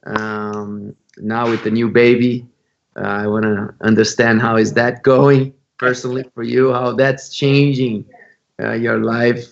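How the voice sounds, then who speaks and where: Male host, slow and halting, gravelly low edge, muffled lo-fi sound. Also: level tone. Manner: halting, filler-heavy questions. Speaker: interview host. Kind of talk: conversational interview.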